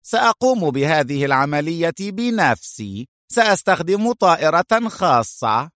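A man's voice: on the high side, very animated, normal speed, a bit bright, dry recording, clean studio sound, voice pushed up. A man voicing a short, bossy, furious spy chief in an audiobook, imperious and scornful. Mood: angry, commanding. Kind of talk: dramatized audiobook villain.

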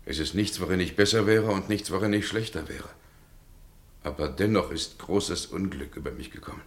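A haunted everyman in a vintage radio drama, resigned and submissive. The voice is extremely low and creaky, with grave, halting delivery. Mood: sorrowful. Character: haunted, resigned everyman condemned for another's deed. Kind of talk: 1960 German radio play acting.

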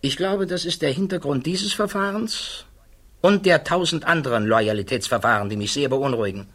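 A man playing a thoughtful, troubled physicist testifying at a hearing in a 1960s German radio play, answering in measured, precise, guarded words. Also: a little high, pushed and bright. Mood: grave, unsettled.

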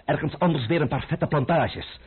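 An actor playing an imperious, scheming, middle-aged French count in a radio play, husky-voiced, haughty and lecturing. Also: male voice, on the high side, normal speed. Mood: lecturing, sardonic. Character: imperious, scheming French count and royalist intriguer, impatient, sardonic. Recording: muffled, narrow-band old radio recording.

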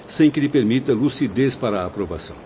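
A man's voice: mid-range voice, lively intonation, quick pace, mellow, lightly acted. An actor playing a noble, learned professor in a radio drama, formal and courteous in his questioning, with a Brazilian accent. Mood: earnest, inquiring. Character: noble learned professor, courteous, inquiring pupil of spiritual masters. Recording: dull lo-fi audio with background noise.

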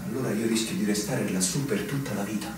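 A man's voice: lowish voice, fast, recorded from a distance, a crowd in the background, natural voice. Earnest young lieutenant in a cavernous echo man acting. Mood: respectful, aggrieved. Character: earnest young male lieutenant, frustrated and disillusioned. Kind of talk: theatrical radio drama acting.

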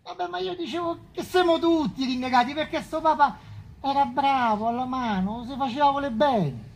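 A woman's voice: sits high, moderate pace, slight background noise. A woman playing a brash, devout Roman commoner in colloquial stage dialogue, with a Roman accent. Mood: earnest. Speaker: stage actor.

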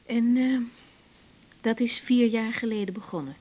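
An actress playing a patient, practical young doctor in a radio drama, asking questions gently and hesitantly in Netherlands Dutch. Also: female voice, a little high, unhurried. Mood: caring. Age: young adult. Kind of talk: naturalistic 1980s radio drama acting.

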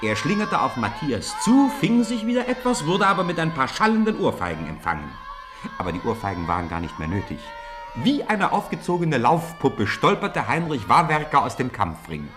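A man narrating a 1950s radio play, telling a snowball fight with rising excitement. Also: on the high side, normal speed, over music. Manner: lively storytelling, rising with the fight. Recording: old radio play with crowd noise behind.

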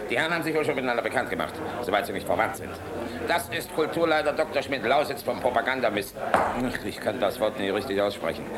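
An actor playing a blunt, jovial middle-aged air force general in a radio drama, with a commanding, irreverent manner. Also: male voice, mid-range voice, lively intonation, fast, very noisy, natural voice. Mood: mocking joviality. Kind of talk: German radio drama acting.

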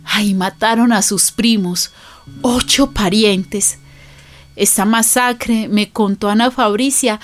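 Slow, sorrowful woman witness acted. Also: medium pitch, natural voice. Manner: grave, testimonial. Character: sorrowful female witness recounting a massacre. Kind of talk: radio drama narration.

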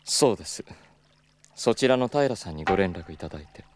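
Wealthy young gentleman, low register, animated intonation, smooth and teasing, audio drama. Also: brisk, a little hiss or hum. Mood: composed, courtly. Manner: smooth, patronizing, knowing.

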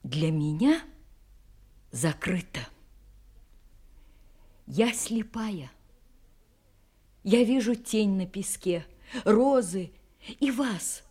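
Sad earnest young woman in an audio play, real slow and halting, soft mellow voice, nearly whispering at times. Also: mid-range voice, animated. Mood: quiet despair. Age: young adult. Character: serious young woman in love, sad, frank, parting. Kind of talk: audio drama acting.

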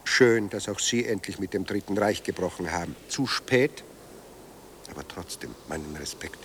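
A low-voiced, sardonic dead writer. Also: male voice, lively intonation, moderate pace, fairly bright tone, slight background noise. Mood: mocking. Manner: ironic, eloquent, theatrical. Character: dead writer, newly sober, sardonic and bitter. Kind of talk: radio drama acting.

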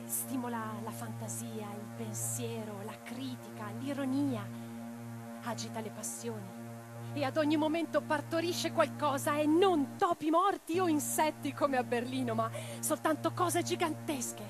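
A woman playing a passionate, idealistic revolutionary in a radio drama, declamatory and expressive. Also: sits high, a little slow. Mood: exhilarated. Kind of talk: theatrical radio drama monologue and dialogue. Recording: radio play with music underneath. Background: music.

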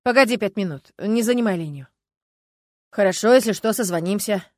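A furious male police captain snaps out curt, impatient orders, read by a woman. His lines come loud and clipped. The sound is dry and close, like a simple audiobook. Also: mid-range voice, a little fast, no room sound, close to an everyday voice. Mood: curt, tense. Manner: curt, barking, angry. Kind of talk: audiobook character voice.

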